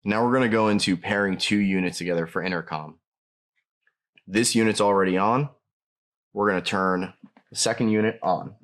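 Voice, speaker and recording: Low-voiced guy walking thru setup steps, plain instructional voiceover. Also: fairly even intonation, moderate pace, long pauses, fairly bright tone. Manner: plain step-by-step explaining. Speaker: product demonstrator. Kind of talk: scripted instructional narration.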